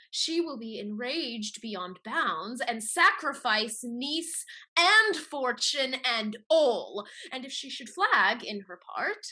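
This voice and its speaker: An actress playing a cool, calculating mistress in a Restoration high-society comedy, speaking crisply and persuasively. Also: female voice, sharp tone, full character voice. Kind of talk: theatrical Restoration comedy acting.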